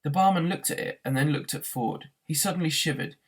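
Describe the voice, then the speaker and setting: A man reading a novel aloud as an expressive narrator, in the way of a teacher reading to a class. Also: a little high, brisk, husky.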